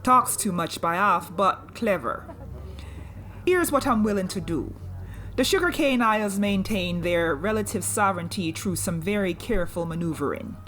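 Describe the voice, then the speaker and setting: An actress playing a shrewd, commanding woman smuggler captain in an audio drama, wry and deliberate, with rough nonstandard grammar. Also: mid-range voice, animated, a bit bright, lightly acted. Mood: wry, measured.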